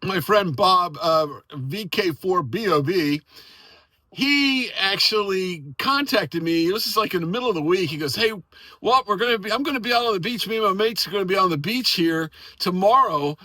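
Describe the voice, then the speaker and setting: An American man chatting casually and excitedly as an amateur radio operator, friendly and effusive. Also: high voice, normal speed, dry recording. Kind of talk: casual enthusiastic chat and radio exchanges.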